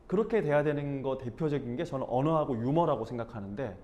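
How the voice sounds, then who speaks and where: Lively, expressive male speech teacher. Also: medium pitch, fast, dull audio. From Busan, South Korea. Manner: animated, breaks into acted-out dialect quotes. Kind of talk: informal class talk.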